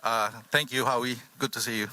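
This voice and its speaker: A man with a Filipino accent speaking informally as a panelist, in a halting, anecdotal way. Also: mid-range voice, fairly even intonation, bright, forceful tone, low-quality recording. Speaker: author on a discussion panel.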